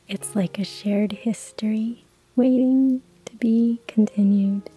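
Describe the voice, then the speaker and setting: Woman, ASMR whisper, slow, dark mellow tone, husky breath, faint music behind. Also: fairly high pitch, fairly even intonation, rough recording. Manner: slow, hushed whisper with soft laughs. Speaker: narrator. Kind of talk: ASMR whispered story narration.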